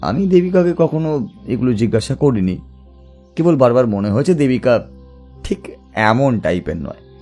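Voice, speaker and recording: A man acting a thoughtful, earnest detective in an audio story, speaking in a measured, reflective way. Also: mid-range voice, big pitch swings, warm tone, faint music behind.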